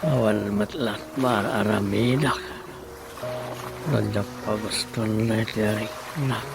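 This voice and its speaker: A man with a Filipino accent acting an excitable, scheming villager who exclaims and mutters in a comedy radio drama. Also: on the low side, a little slow, gravelly. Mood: musing. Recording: radio drama with music underneath.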